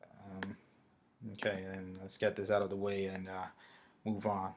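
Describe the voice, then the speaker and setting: A man's voice: deep voice, monotone, unhurried, background noise. Brazilian man hesitantly explaining a trade. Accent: Brazilian Portuguese. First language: Portuguese. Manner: hesitant, many fillers and restarts. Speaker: forex trader. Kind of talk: screen-recorded trading walkthrough.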